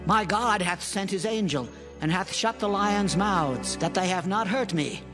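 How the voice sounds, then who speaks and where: An actor playing a devout, steadfast prophet in a dramatized scripture reading, with a reverent, declamatory delivery. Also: male voice, high-pitched, unhurried, music in the background.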